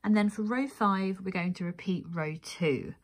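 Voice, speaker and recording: A woman's voice: medium pitch, normal speed. Woman giving methodical craft instruction. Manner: methodical, counting aloud. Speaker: crochet tutorial presenter.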